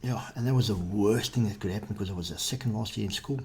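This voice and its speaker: Blunt South African man, low voice. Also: fast talker, mellow, a little hiss or hum. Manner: blunt, laconic, crude jokes. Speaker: guest, father and ex-soldier. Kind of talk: casual podcast Q&A.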